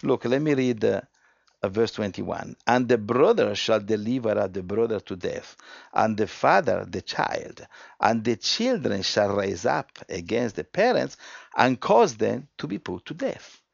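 Low gravelly preacher with dull sound. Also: male voice, very animated, unhurried, no room sound. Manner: emphatic, restarts often, swings from quiet to loud. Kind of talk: sermon with scripture reading.

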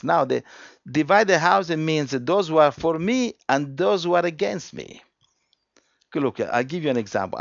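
A man preaching a sermon, reading scripture aloud and explaining it emphatically, with frequent restarts and swings from quiet to loud. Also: mid-range voice, gravelly, no room sound, dull audio.

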